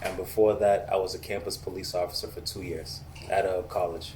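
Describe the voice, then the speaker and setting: Low, flat-voiced American police officer. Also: male voice, slight background noise. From United States, Boston area. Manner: measured, steady, hesitant with many ums. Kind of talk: casual interview answers.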